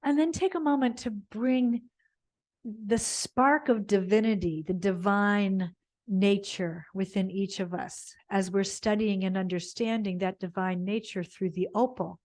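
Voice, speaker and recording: A woman teaching gentle yoga, leading an unscripted spiritual body scan in a meandering, halting way as she searches for words. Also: medium pitch, fairly even intonation.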